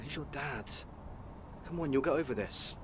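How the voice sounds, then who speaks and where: A man's voice: medium pitch, moderate pace, natural voice. A man acting an apologetic, consoling friend in a radio soap, with faint traffic noise behind. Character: apologetic, consoling male friend offering shelter.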